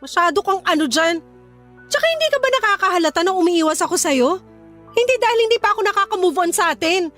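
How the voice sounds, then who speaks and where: A young Filipino woman who is hurt and fed up. Her voice sits extremely high and she scolds with firm emphasis. It is radio drama, with soft music underneath. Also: animated, fast. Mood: indignant. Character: hurt, indignant young woman standing up for herself. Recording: music behind the voice.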